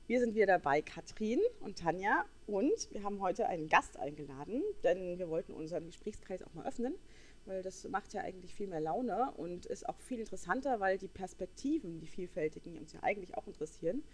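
Female podcast host, casual and mid-pitched. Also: lively intonation, a little fast. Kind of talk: casual interview moderation.

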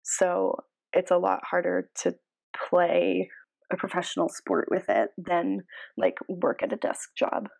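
A woman's voice: fairly low pitch, very animated, unhurried, vocal fry, a little mellow, no echo. A woman co-hosting a sports podcast in casual, conversational chat. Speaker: podcast co-host.

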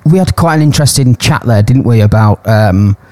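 Lively man in casual chat. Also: mid-range voice, a little fast. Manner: rambling, laughs a lot, teasing. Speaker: podcast co-host. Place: Thailand.

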